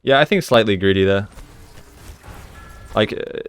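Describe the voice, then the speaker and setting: A man's voice: fairly low pitch, unhurried, clear, resonant tone, no room sound. A man giving casual, conversational commentary as he analyses gameplay like a coach, explaining each move.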